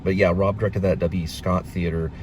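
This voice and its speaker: A middle-aged man talking off the cuff in a casual vlog, reminiscing in a halting way as he searches for words. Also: deep voice, level tone, darkish tone, slight background noise. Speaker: video show host, actor and playwright. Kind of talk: casual rambling vlog monologue.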